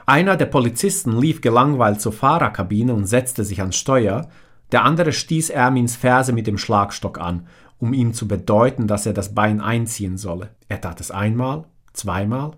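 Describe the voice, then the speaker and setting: A man's voice: medium pitch, lively intonation, normal speed, fairly bright tone. A man in his forties from Bosnia with a strong Bosnian accent, reading his own memoir as an audiobook in a direct, plain way.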